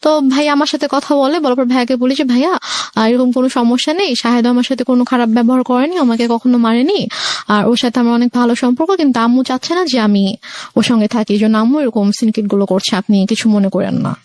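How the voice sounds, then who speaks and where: Young Bangladeshi woman with a higher, dry-recorded voice. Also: fairly even intonation, fast talker, a little mellow, no room sound. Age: young adult. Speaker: show guest telling her life story. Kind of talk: personal storytelling, conversational.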